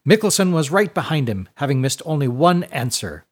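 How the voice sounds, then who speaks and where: Man playing a high, excitable, pompous school rector. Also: brisk. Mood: proud, excited. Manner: proclaiming, emphatic.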